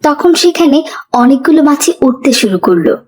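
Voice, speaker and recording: A woman's voice: high-pitched, animated, normal speed, darkish tone. A woman reading scripted storytelling narration for a children's cartoon.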